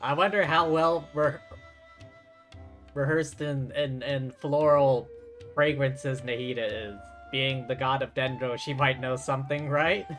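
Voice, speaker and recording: A man's voice: medium pitch, steady tone, a little slow, a bit bright. A man commenting casually and wryly as he streams a video game. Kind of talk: casual live commentary and reading along. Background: game music.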